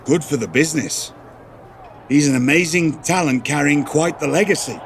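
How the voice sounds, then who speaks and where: A formal, measured general manager, played by a man picks his words with care, his voice a touch higher than average. Faint traffic murmurs in the background of this game scene. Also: natural voice. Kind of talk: video game voice acting.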